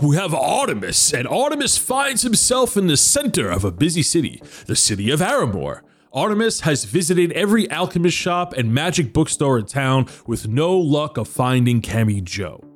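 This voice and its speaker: A man who is the dungeon master of a tabletop game, wrapping up the session with plenty of laughter and then narrating short fantasy stories about supporters. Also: a little high. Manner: laughs often, animated.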